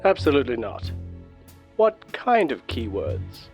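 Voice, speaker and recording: An actor playing a cagey, reluctant Interpol agent in a radio drama, with a terse, deadpan manner. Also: male voice, mid-range voice, a little slow, vocal fry, soft background music, full character voice. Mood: evasive, dry. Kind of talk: radio drama phone dialogue.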